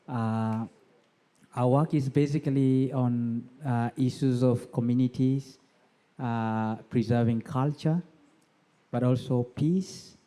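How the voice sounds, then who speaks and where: A male conference speaker with an East African accent explains his work in a prepared presentation. His tone is extremely mellow and dark, with little edge to it. He speaks in a halting way, pausing often as he lays out each point. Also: medium pitch. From South Sudan. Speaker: conference speaker, NGO co-founder.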